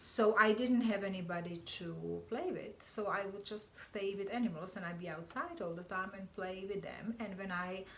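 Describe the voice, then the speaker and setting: A woman's voice: mid-range voice, gravelly. Earnest woman from the Czech Republic, roomy sound. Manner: earnest, halting, restarts phrases. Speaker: guest, animal communicator. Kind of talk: interview answers. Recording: roomy echo with faint noise.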